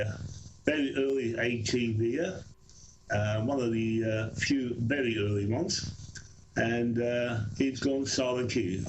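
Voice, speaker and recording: Man, rambling radio hobbyist, noisy stream audio, middle pitch. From Australia. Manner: friendly, rambling with fillers. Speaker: radio amateur presenter. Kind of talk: casual live stream talk.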